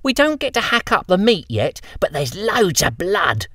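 A man voicing a tall, tough young girl apprentice, talking in a brisk, teasing way. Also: high-pitched, big pitch swings, no room sound, studio quality, voice pushed up, heavily acted. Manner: brisk, teasing, sharp-tongued.

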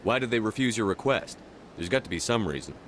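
Stoic space-navy commander: lowish voice, businesslike, decisive, faint ambience underneath. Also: male voice, animated, fast talker, a bit bright, slight background noise. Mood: steady. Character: stoic human military commander, calm, decisive man. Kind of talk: video game voice acting.

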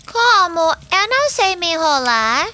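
Menininha de voz agudíssima. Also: female voice, very high-pitched, sing-song, unhurried, sharp tone, exaggerated voice. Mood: curious. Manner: childlike, exclamatory. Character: naive, lively little girl in a fairy tale. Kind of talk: cartoon voice acting.

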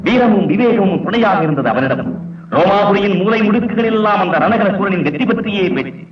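A grand declaiming stage narrator. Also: male voice, sits high, highs cut off. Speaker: stage-play actor reciting. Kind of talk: florid theatrical recitation. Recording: old film soundtrack, roomy and distant, music behind.

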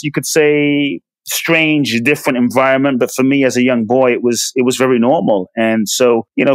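A man's voice: on the low side, no room sound. Earnest man telling his story. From born in Ghana, raised in London. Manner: earnest, emphatic, with repeated words. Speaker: podcast guest, speaker. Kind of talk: personal storytelling interview.

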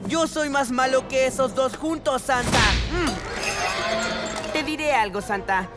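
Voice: high-pitched, takes it slowly, over loud music. A performer voicing a petulant, scheming boy mad scientist in a children's cartoon, theatrical and whiny. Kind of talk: animated children's show dub.

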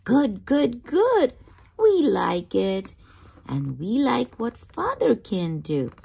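A woman hosts a children's read-aloud in a high voice that swings expressively up and down. She takes the short, simple sentences slowly and clearly spaced, in a teacherly way, putting easy questions to young listeners. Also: very animated, darkish tone.

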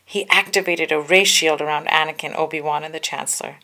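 A woman's voice: deep voice, steady tone, a little fast, pushed and bright, rough recording. A woman reading a children's storybook aloud with careful, dramatic narration. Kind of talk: children's book read-aloud, scripted narration.

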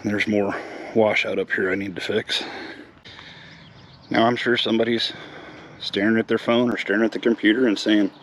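Low-voiced American man, noisy outdoor recording. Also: little pitch movement, normal speed, pauses often, fairly bright tone. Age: middle-aged. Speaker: host of a lawn care vlog. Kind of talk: casual vlog talk with explanation. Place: outdoors in a residential yard, later an open garage. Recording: clear outdoor ambient noise.

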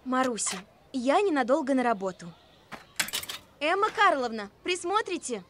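A woman voicing a dreamy, kindly young mother in a children's cartoon, expressive and sing-song. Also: high-pitched, moderate pace, very noisy. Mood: cheerful.

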